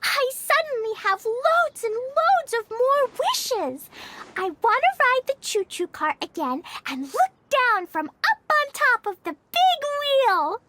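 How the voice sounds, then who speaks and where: A woman's voice: extremely high pitch, sing-song, takes it slowly, noisy background, heavily acted. A woman voicing a cheerful, earnest little girl with childlike, eager phrasing in a video game cutscene. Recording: background music under the voice.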